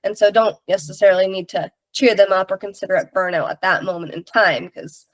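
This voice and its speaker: A woman's voice: medium pitch, fairly even intonation, brisk, dry recording. Woman podcast host chatting casually, bit stuffed up from a cold, practical and candid, really dry sound. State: slightly stuffy from a head cold. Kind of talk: casual conversational advice.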